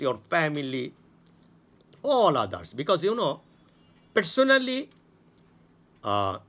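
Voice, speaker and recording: A man with a Bangladeshi accent talks straight to his viewers in halting non-native English. He lectures in a grand, self-assured way, repeats himself and restarts his sentences again and again. His voice sits a bit high and sounds bright, and the pitch swings widely. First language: Bengali. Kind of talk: rambling monologue to viewers.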